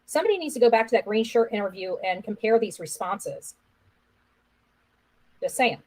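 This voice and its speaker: Female online commentator, medium pitch, opinionated and accusatory, hammers points by repetition. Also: lively intonation, normal speed, a little mellow, poor audio. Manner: accusatory, insistent, repeats phrases for emphasis. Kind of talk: opinionated live-stream commentary.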